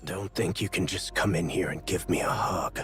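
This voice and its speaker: A gruff, stern leader. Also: male voice, low-pitched, steady tone, moderate pace, fairly bright tone, soft background music. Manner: curt, gruff. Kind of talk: game voice acting.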